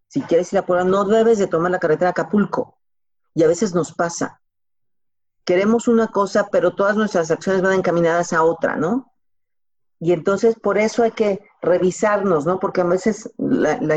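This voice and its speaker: A woman's voice: fairly low pitch, a little mellow. A woman with a Mexican accent answering casually as an interview guest, colloquially, with examples and rhetorical questions, on a slightly lo-fi recording. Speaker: podcast guest giving career advice. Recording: slightly dull, lo-fi sound.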